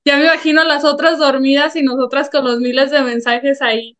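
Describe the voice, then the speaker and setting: A woman co-hosting a podcast, chatting quickly and enthusiastically. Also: sits high, little pitch movement, a bit bright. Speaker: podcast co-host. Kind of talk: casual chat.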